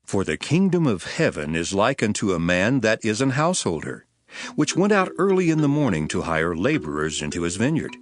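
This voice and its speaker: A man playing a solemn teacher of parables in a dramatized scripture recording, speaking in a measured, declamatory way. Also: fairly low pitch, sing-song, no echo. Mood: storytelling, emphatic.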